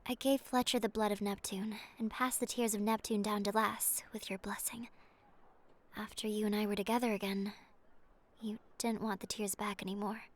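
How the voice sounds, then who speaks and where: A woman playing a solemn, devoted sea sorceress in an audio drama, with a tender manner that often softens toward a whisper. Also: mid-range voice, little pitch movement, normal speed, lightly acted. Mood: gentle, nostalgic. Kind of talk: cinematic audio drama acting.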